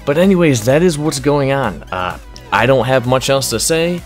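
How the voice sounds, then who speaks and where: A man's voice: medium pitch, lively intonation, normal speed. A man giving informal, conversational gaming news commentary as a YouTuber, explaining things with emphatic bursts. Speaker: video game news YouTuber. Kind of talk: casual gaming news commentary over gameplay. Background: background music under the voice-over.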